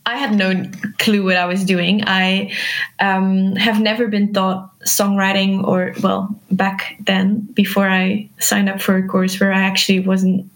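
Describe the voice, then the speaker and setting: Soft-spoken young woman, non-native English. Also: mid-range voice, flat delivery, unhurried, low-quality recording. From Belgium. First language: Dutch. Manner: soft-spoken, hesitant, laughs often. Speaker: musician guest. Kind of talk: casual interview.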